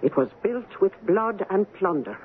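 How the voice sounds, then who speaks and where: An actress playing a superstitious, blunt Scottish housekeeper with an ominous, dialect-laden manner in a radio drama. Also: female voice, medium pitch, animated, a little slow, close to an everyday voice. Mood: grim, ominous. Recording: muffled, noisy 1970s radio broadcast.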